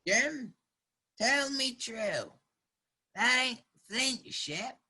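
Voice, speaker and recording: A woman's voice: on the high side, lively intonation, extremely slow, bright, forceful tone, slightly rough, heavily acted. A woman playing a half-wild marooned castaway sailor in a radio play, eager and rambling, with rustic sailor dialect. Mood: wary, anxious. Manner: rambling, repeats words, exclaiming. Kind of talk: children's theater radio play acting.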